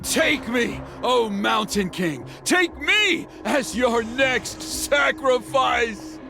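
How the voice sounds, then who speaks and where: A gravelly, zealous old elder. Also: male voice, very high voice, lively intonation, very slow, full character voice. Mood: fanatical. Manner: fanatical, accusing. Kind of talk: video game dub. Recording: game cutscene with loud music.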